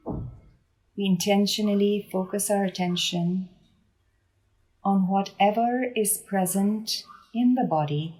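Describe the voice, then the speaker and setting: Slow, soft-toned woman guiding meditation. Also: mid-range voice, low-quality recording. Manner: gentle, unhurried, with deliberate pauses. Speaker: meditation guide. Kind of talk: guided body scan meditation.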